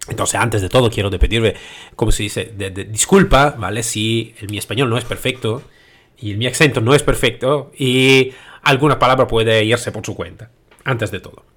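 A man's voice: on the low side, moderate pace. A middle-aged man from Italy with an Italian accent, giving a solo talk to listeners in an emphatic way with self-corrections. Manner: lively and emphatic, thinking aloud with self-corrections. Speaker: podcast host.

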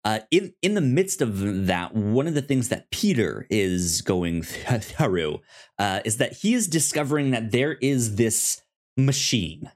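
A man's voice: lowish voice, big pitch swings, unhurried, darkish tone. A man co-hosting a podcast, casually recapping a show and often rephrasing himself as he explains. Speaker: podcast co-host.

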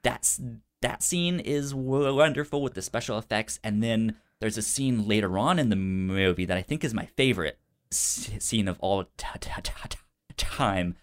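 A man co-hosting a film podcast, talking casually and enthusiastically, with a stutter that repeats sounds and syllables. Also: lowish voice. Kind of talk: casual movie discussion.

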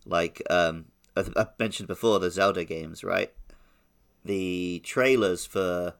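Low, creaky-voiced man chatting casually. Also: slow pace, fairly bright tone. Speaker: podcast co-host. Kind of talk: casual chat.

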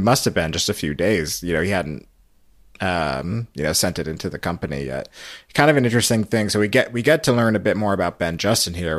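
A man hosting a podcast, recapping a story in a casual, halting, explanatory way. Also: deep voice, fairly bright tone, no room sound, clean studio sound. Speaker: podcast host.

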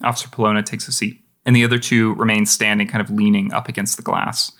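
A man narrating as game master of a tabletop roleplaying game, in a relaxed and easygoing way. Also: on the low side, fast, gravelly.

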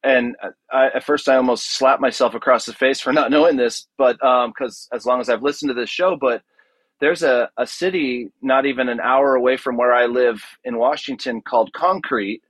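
A man's voice: mid-range voice, normal speed, a bit bright, dry recording. Man, dry close sound, telling stories. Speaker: podcast guest, audio drama producer. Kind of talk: casual interview, animated storytelling.

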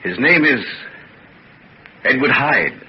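Gentleman doctor, polite, evasive, reassuring, lively intonation, old radio serial, muffled sound. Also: medium pitch, takes it slowly.

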